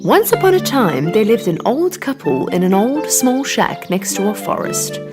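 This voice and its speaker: A woman's voice: on the high side, very animated, moderate pace. A woman narrating a scripted children's story over music.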